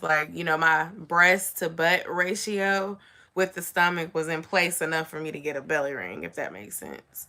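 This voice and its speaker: Young woman vlogger, somewhat raspy, lowish voice, chatty and casual. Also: pushed and bright. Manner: chatty, says "y'all" and "like" often. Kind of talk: casual chat to camera.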